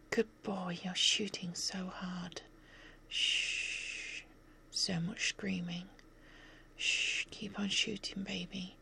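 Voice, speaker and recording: A woman speaking in a steady whisper for an ASMR roleplay, soothing and coaxing while staying firmly in command. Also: on the low side, gravelly.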